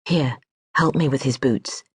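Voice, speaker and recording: An audiobook narrator voicing a proud, imperious noblewoman who speaks crisply and gives sharp commands. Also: mid-range voice, measured pace, no room sound, clean studio sound.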